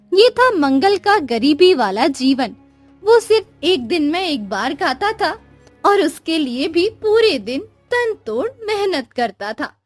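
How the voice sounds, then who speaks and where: A woman's voice: high-pitched, no echo. A woman narrating a scripted moral story as the storyteller, with music behind her. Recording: music under the voice. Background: background music.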